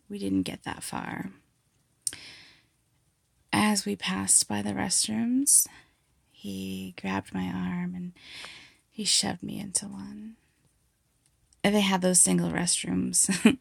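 A woman performing an intimate, sultry audio roleplay monologue, teasing and breathy, often slipping into a whisper, close to the mic. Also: medium pitch, dry recording. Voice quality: breathy, husky, gravelly. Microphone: close mic, dry sound.

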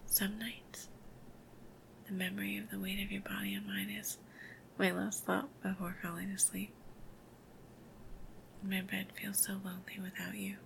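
A woman doing a whispered ASMR girlfriend roleplay, soft, intimate and affectionate. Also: mid-range voice, measured pace, creaky, pauses often, a little hiss or hum, rough recording.

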